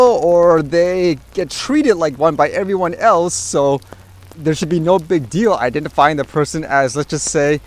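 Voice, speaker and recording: A man giving casual opinion commentary as a vlogger, rambling along conversationally with frequent hedging asides. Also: on the high side. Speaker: vlogger host.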